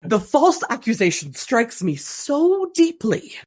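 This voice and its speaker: An actress in a radio play voicing a gushing, melodramatic amateur stage actress of the Regency era, speaking with theatrical earnestness. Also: female voice, on the high side, big pitch swings, slow, darkish tone, exaggerated voice. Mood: tragic, theatrical. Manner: theatrical, breathy earnestness, dramatic flourishes.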